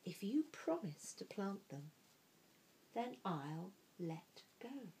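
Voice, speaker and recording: A woman storyteller voicing a frail but stubborn old lady in a picture book, speaking in a measured, firm way. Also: mid-range voice, big pitch swings, a little mellow, slight background noise, poor audio. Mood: firm, bargaining.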